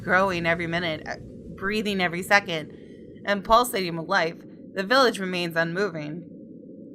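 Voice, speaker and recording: A woman's voice: fairly low pitch, background noise. A woman hosting a gaming video, reading a visual novel aloud expressively and adding casual asides.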